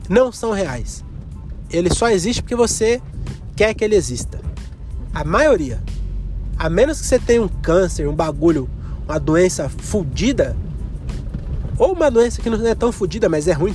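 A young man hosting a solo podcast, rambling and joking with crude slang, coughing from a cold. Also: high voice. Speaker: podcast host. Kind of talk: solo comedic podcast monologue.

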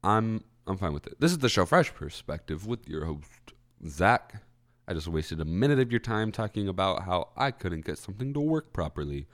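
Congested young man with a very deep voice. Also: big pitch swings, normal speed. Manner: rambling, self-correcting, clears throat. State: congested, stuffy nose. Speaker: college radio host. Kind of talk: casual solo podcast chat. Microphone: studio microphone.

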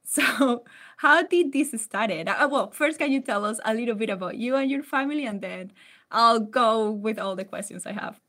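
A woman's voice: on the high side, normal speed, pushed and bright. A woman hosting a podcast, introducing the show and a guest in a friendly, upbeat way. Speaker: podcast host. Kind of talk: podcast interview. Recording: faint music behind.

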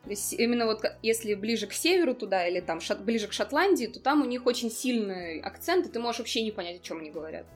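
A woman's voice: fairly high pitch, expressive, quick pace, few pauses, faint music behind. A young woman in a casual conversation, explaining at length with lots of fillers. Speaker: interview guest.

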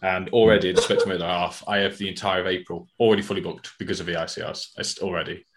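A man's voice: low-pitched, fairly even intonation, racing. A man in his late twenties, an electrician co-hosting a casual podcast, telling stories and acting out the voices of people he quotes. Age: 20s. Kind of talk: casual group chat.

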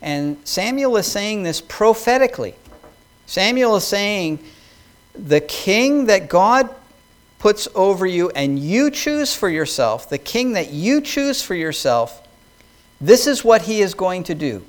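A man's voice: high voice, very animated, takes it slowly. A man preaching a sermon to his congregation, building emphasis as he reads scripture aloud. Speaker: pastor. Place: church hall with congregation.

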